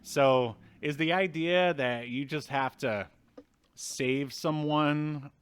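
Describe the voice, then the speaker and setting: A man in his thirties co-hosting a movie review show, recapping a film in a casual, wry, halting way. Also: fairly high pitch, clear, resonant tone. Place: indoors at home, video call.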